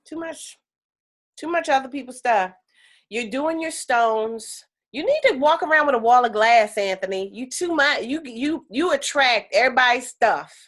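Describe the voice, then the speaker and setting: A woman teaching an energy healing class on a video call, chatty and animated, with lots of fillers. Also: high voice, normal speed.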